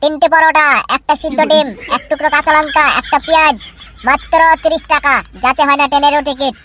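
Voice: high voice, level tone, fast, pushed and bright, slight background noise. A performer voicing a broke, sulky, scheming young lad in a comedy cartoon. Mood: exasperated. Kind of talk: Bengali comedy cartoon dubbing.

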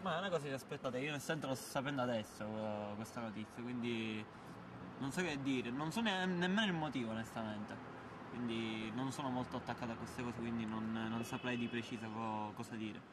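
A man's voice: mid-range voice, a little fast. A young man answering a street interview hesitantly and unsure of what to say. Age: young adult. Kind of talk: street vox pop. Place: city street outdoors. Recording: street noise outdoors.

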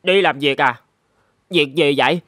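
A man's voice: on the high side, a little slow, natural voice. A man voicing a naive, good-natured young peasant in a cartoon fairy tale, eager and wide-eyed. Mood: willing.

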